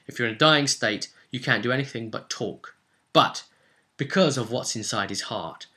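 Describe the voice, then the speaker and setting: A man's voice: medium pitch, sharp tone. A man narrating a tabletop fantasy game as game master, dramatic and intense, repeating phrases for emphasis.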